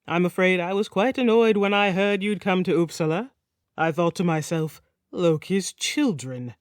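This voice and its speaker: A woman's voice: fairly low pitch, normal speed, dry recording, studio quality, natural voice. Woman playing a chatty, teasing Nordic king. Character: genial, fastidious Swedish king, chatty, teasing, casually menacing.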